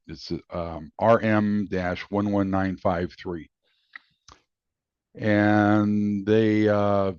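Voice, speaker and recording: A low male voice talks off the cuff, explaining things in a halting, rambling fashion. The sound is dry and close, with a dull, lo-fi finish. It has the feel of a hobbyist's homemade video commentary. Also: a little mellow, dry recording. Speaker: video host and amateur radio hobbyist. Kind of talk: casual unscripted explanatory commentary.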